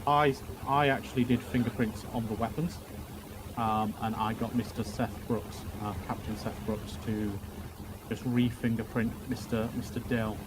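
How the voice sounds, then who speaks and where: A man playing a police officer giving testimony in a roleplay courtroom, talking haltingly with many fillers and repeated words. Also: on the low side, fairly even intonation, noisy background.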